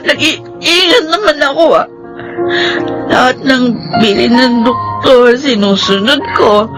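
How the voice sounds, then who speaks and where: A woman's voice: high voice, lively intonation, slow, exaggerated voice, low-quality recording. An actress playing a tender, emotive married Filipino woman in a radio drama. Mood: grieving. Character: grieving, tender married woman who is expecting a baby. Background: music.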